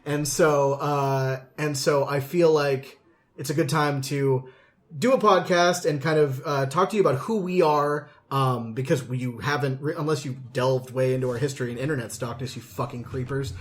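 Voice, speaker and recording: A man's voice: mid-range voice, normal speed. A man co-hosting a podcast in casual chat, with a jokey, sweary manner. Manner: jokey, sweary, laughs often. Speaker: podcast co-host. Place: indoors in a dining room at home.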